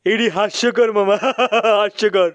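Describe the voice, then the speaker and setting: A man plays a young mouse nephew in a cartoon, high and clean-voiced, recorded dry. He is cheeky and naive, teasing his elder in colloquial Bangladeshi speech. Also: steady tone, takes it slowly, no room sound. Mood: mocking. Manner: playful, repetitive, teasing. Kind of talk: comic cartoon dub.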